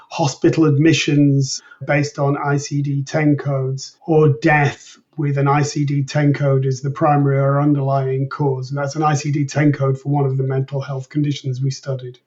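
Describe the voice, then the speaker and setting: A man giving measured, precise, methodical explanations as a researcher being interviewed. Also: on the high side, a little mellow, recorded from a distance, lo-fi sound.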